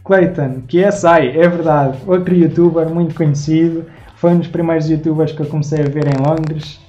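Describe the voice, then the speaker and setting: A man's voice: a little high, fairly even intonation, moderate pace, dark tone, soft background music. Lisbon-accented young man on a lo-fi stream. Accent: European Portuguese, Lisbon. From Portugal. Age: 20s. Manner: chatty, thanking viewers by name while showing drawings. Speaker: live-stream host and artist. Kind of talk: casual live-stream chat, reading viewer comments. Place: small home room indoors.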